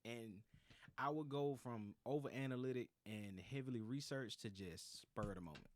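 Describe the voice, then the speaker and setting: A man's voice: mid-range voice, normal speed, no room sound. A man in his late twenties co-hosting a podcast, chatting casually and animatedly, often laughing and acting out his own thoughts. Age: late 20s. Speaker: podcast co-host. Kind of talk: casual chat.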